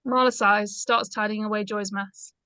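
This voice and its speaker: A woman's voice: medium pitch, fairly even intonation, normal speed, sharp tone, clear, resonant tone, dry recording. A woman reading stage directions aloud as scripted narration in a screenplay read-through.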